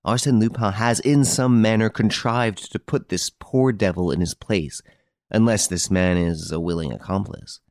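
A solemn detective read by a male narrator testifies in a courtroom story. He speaks deliberately and gravely in a low voice, with a dry recording. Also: darkish tone, no room sound, close to an everyday voice. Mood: deliberate, certain.

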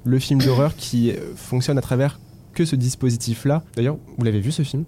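A man's voice: mid-range voice, fairly quick, slight background noise. A man hosting a film podcast, introducing topics and leading the talk with some hesitation. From France. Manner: lively, hesitant at times. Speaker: podcast host.

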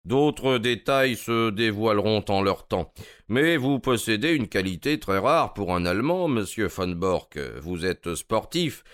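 Un homme qui joue, pour un livre audio, un détective anglais d'âge mûr, suave, courtois et moqueur. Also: male voice, lowish voice, lively intonation, no echo, clean studio sound, pitched above the performer's own voice. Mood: politely triumphant. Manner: amused, courteous, drawn-out mocking delivery. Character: suave, wry older English detective, gloating politely.

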